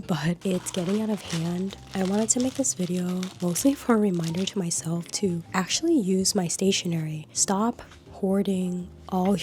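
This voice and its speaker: A woman with a slightly scratchy voice from a cold, chatting casually and unhurriedly in a low-energy voice-over about her craft supplies. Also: mellow. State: a little sick, scratchy voice. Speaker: art vlogger.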